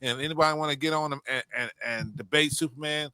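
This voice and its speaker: Man, forceful bright tone, casual opinionated chat, emphatic, profane, dry close mic. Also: on the high side, quick pace, clean tone, no echo. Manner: blunt, emphatic, profane, repeats himself for emphasis. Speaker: podcast co-host.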